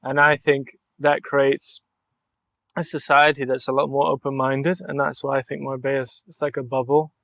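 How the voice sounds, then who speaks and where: A man's voice: mid-range voice, flat delivery, moderate pace, vocal fry, fairly bright tone, no echo. Young man talking in an earnest, reflective way. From Mallorca, Spain. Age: young adult. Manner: earnest and reflective, thinks aloud in long flowing sentences. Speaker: podcast guest, artist. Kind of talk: relaxed interview conversation.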